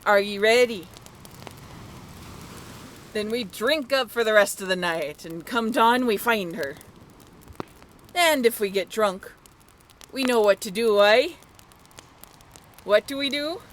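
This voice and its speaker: A woman playing a rousing, commanding pirate sailor who rallies a crew in a loud, hearty, declamatory way in an audio drama. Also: a little high, animated, slow pace, long pauses, a little hiss or hum. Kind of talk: scripted roleplay audio drama.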